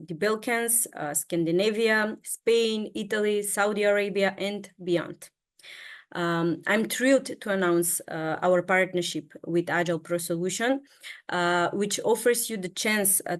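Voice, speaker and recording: A woman introducing a webinar as a sales specialist over a video call, steady but with many filler sounds. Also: mid-range voice.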